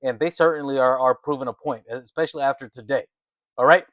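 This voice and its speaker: A man hosting an informal, excited finance commentary, talking emphatically and conversationally and often checking in with short tag questions. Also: mid-range voice, quick pace, dry recording. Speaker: host of a stock market commentary channel. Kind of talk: excited informal market commentary, partly reading articles aloud.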